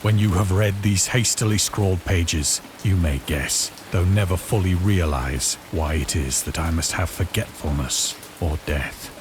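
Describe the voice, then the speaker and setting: An actor playing a despairing, morphine-addicted former seaman, narrating a horror game in a grave, measured, formal manner. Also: deep voice, background noise. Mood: anguished, grim. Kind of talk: dramatic first-person horror narration.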